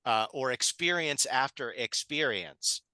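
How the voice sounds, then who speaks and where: Bright, forceful man with swooping pitch. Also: lively intonation, clear, resonant tone, dry recording. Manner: emphatic, halting, checks in with 'right?'. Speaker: theatre panelist. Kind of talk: casual panel discussion.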